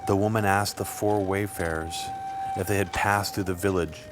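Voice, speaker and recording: A man reading scripted literary fiction as an audiobook narrator, with measured, deliberate phrasing. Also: low voice, fairly even intonation, noisy background. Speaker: audiobook reader. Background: music.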